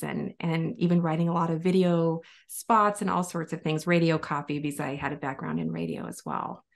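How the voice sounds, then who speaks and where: A woman's voice: low-pitched, creaky. Chatty female speechwriter guest. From United States. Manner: chatty, animated storytelling with many fillers. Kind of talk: casual interview chat.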